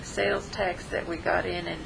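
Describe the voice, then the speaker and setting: A woman's voice: on the low side, little pitch movement, moderate pace, gravelly. A woman with a scratchy voice reading a treasurer's report, matter-of-fact as she lists figures. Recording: noticeable background noise.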